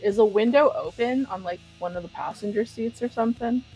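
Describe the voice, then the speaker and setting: A woman's voice: on the high side, brisk, warm tone, breathy edge, faint music behind, poor audio. A woman playing a tabletop role-playing game, chatting casually and improvising playfully.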